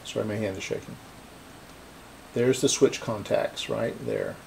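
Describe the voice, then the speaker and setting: Male repair host, low voice, halting unscripted workbench explanations, some room noise behind him. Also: animated, gravelly. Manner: halting, thinking aloud while working. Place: indoor cluttered electronics workbench.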